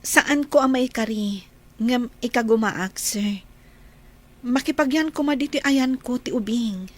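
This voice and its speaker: Soft-spoken kind caretaker, slightly high voice, polite, coaxing, radio drama. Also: female voice, a little slow. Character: gentle young woman caring for a frightened child.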